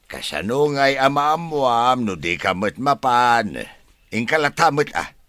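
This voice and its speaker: An actor with an Ilocano accent playing a blunt, grumbling, teasing husband and son in a radio drama. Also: male voice, mid-range voice, very animated, a little slow, bright, forceful tone, dry recording. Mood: scolding.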